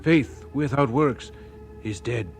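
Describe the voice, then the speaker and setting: Small radio drama role: male actor as Indian pastor, reverent, unhurried, mid pitch, music behind. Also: lightly acted. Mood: solemn.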